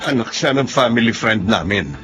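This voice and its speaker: An actor playing a defiant, possessive love rival in a Tagalog radio drama, with a brazen, combative way of talking. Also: male voice, mid-range voice, animated, moderate pace, a bit bright, lightly acted. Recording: music behind the voice.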